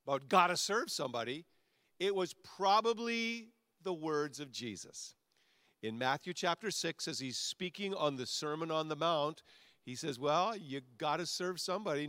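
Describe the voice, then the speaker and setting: Guy pastor preaching, bright tense voice, kinda high, dry sound, chatty then punchy. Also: no room sound. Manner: conversational preaching, builds to emphatic peaks. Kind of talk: sermon.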